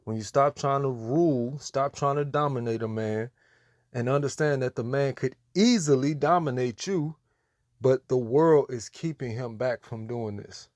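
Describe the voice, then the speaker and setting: A man's voice: mid-range voice, unhurried, a little mellow, no echo. Admonishing American man with a dry sound. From United States. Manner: admonishing, repetitive, emphatic. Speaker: religious commentator. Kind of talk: preachy reaction commentary.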